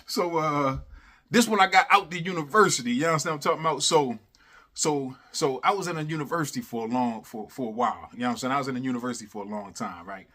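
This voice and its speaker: A man casually telling a funny personal story in animated, slangy talk, repeating phrases for emphasis and constantly checking in with the listener. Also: mid-range voice, fast talker. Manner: animated, slangy, repeats phrases for emphasis, often adds "you know what I'm saying". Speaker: storyteller, host of a story series.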